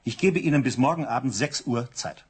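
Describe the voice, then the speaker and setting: A cold, stern syndicate boss. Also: male voice, medium pitch, lively intonation, fairly quick, a little mellow, husky, soft background music. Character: stern male syndicate chief, cold, unyielding.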